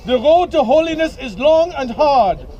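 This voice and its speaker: A man's voice: extremely high pitch, expressive, moderate pace, warm tone, dull audio, voice pushed up. A man voicing a stern, pious female headmistress, scolding and preachy, outdoors. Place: outdoors at a medieval combat event.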